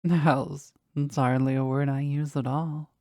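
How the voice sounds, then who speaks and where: An actor playing a powerful, devoted demon bound to a sorcerer in an intimate romantic audio roleplay, speaking formally and tenderly in a breathy voice that often drops toward a whisper. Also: medium pitch, level tone, darkish tone, no room sound, studio quality, close to an everyday voice. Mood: earnest, yearning. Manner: formal, slow, tender, halting with emotion.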